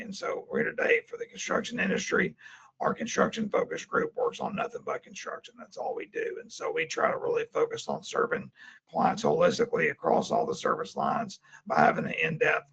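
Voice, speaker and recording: A man with a very raspy, strained voice, giving a webinar presentation as a CPA. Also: very deep voice, a bit bright. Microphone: webinar call. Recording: dull lo-fi webinar audio.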